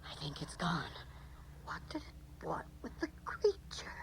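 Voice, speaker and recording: A woman's voice: low-pitched, wildly swooping pitch, a little slow, gravelly, in a whisper, heavily acted. An actress playing an anxious, questioning woman on an alien expedition, in a dramatic 1980s radio drama style. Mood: worried. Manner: dramatic, theatrical. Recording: noisy radio drama recording.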